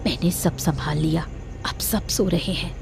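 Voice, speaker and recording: Woman playing a low-voiced scheming cartoon schemer. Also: fairly even intonation, unhurried, creaky, slight background noise. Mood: tense, conspiratorial. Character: scheming, ruthless married woman plotting a murder. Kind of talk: Hindi cartoon story dialogue.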